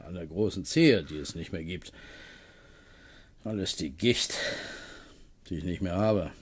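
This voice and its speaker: A low-voiced wry man w/ a fake leg, radio play, talks real slow and halting. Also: breathy edge. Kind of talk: 1970s radio play acting.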